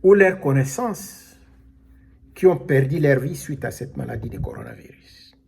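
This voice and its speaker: A man preaching a sermon, slow and emphatic, calling out alleluia as he explains scripture. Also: mid-range voice, lively intonation, creaky, darkish tone, husky. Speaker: pastor.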